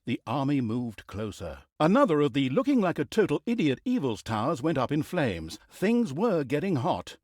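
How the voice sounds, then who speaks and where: A sardonic male storyteller narrates a comic fantasy game with mock grandeur. His fairly high voice swings widely in pitch as he slips in teasing asides, and the sound is dry and close. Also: dry recording. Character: wry, mocking male storyteller of an evil fantasy campaign.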